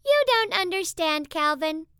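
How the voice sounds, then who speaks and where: A woman's voice: very high-pitched, lively intonation, measured pace, fairly bright tone, dry recording, studio quality, pitched above the performer's own voice, exaggerated voice. Woman doing a piercing, insistent young boy. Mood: insistent, eerie. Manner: insistent, canned, coolly reasonable. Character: eerily reasonable young boy under sinister control. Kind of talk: audiobook character acting.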